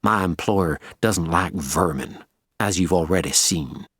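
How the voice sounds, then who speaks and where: A man voicing an old hired gunman in an audiobook, coldly polite, menacing and deliberate in his speech. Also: deep voice, fairly bright tone, slightly rough, no room sound, clean studio sound, full character voice. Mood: cold menace. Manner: slow, deliberate, coldly courteous.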